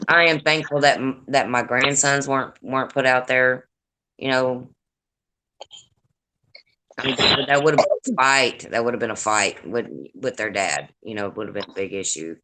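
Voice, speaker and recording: An older woman with a deep raspy voice and a Deep Southern accent, hosting a casual livestream chat in a blunt, profane, gossipy way. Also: steady tone, moderate pace. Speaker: livestream host. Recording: noisy livestream audio.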